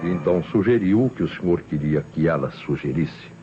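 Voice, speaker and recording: An actor playing a relentless police inspector in an old Brazilian radio drama, speaking in a theatrical, deliberate, accusing way. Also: male voice, sits low, expressive, mellow. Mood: confident, explanatory. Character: shrewd, relentless male police inspector exposing a killer. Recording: dull vintage radio recording with music.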